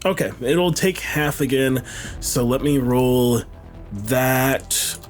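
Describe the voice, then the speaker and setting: A man's voice: mid-range voice, slow. A man playing a tabletop game, talking casually and bantering with the others at the table. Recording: loud music bed behind voice. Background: background music.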